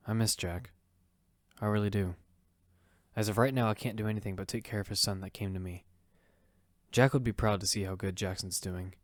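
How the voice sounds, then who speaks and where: Low-voiced earnest young dad, acted by a man. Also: dry recording, studio quality, natural voice. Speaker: narrator and lead character. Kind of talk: first-person narration in amateur audio fiction.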